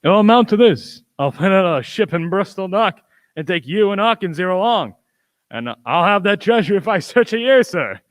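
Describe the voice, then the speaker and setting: Pompous, eager country squire played by a man: highish voice, lively swings, emphatic radio drama delivery. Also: moderate pace, no room sound. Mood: excited, boastful. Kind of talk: youth radio play acting.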